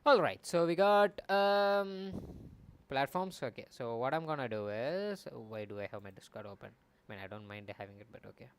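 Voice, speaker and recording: A man's voice: medium pitch, expressive, moderate pace, clear, resonant tone. Young man thinking aloud while coding. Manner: rambling, thinking aloud, self-correcting. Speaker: game developer streamer. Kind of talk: casual live coding commentary. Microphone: desk mic.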